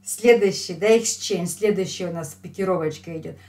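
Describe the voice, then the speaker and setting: A woman's voice: lowish voice, darkish tone, a little hiss or hum. A middle-aged woman with a Russian accent teaching English, explaining line by line and repeating phrases emphatically for learners. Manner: slow, emphatic repetition for learners. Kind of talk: lesson explaining a phone call line by line.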